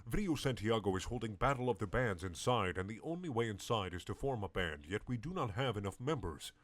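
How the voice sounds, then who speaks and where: A man's voice: on the low side, big pitch swings, fairly quick, fairly bright tone, no room sound. A man voicing an earnest male alien warrior, speaking in stiff, broken English.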